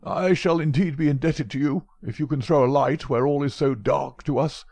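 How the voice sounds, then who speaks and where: A man's voice: on the high side, steady tone, normal speed, a little mellow, no room sound, pitched above the performer's own voice. A man voicing a frail, fussy old male professor who speaks in a precise, mincing way. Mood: anxious, flattering.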